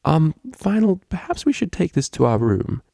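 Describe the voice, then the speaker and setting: A man's voice: fairly high pitch, sing-song, moderate pace, gravelly, dark tone, no echo, clean studio sound, voice pushed up, exaggerated voice. A man voicing an anxious, proper female pony cellist in a story, polite and careful in speech. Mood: nervous.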